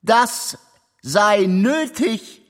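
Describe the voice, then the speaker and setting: A man's voice: high-pitched, animated, very slow, exaggerated voice. A man playing an impassioned reciter of a revolutionary pamphlet, with emphatic, stretched declamation in an experimental musical audio drama. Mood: impassioned, accusing.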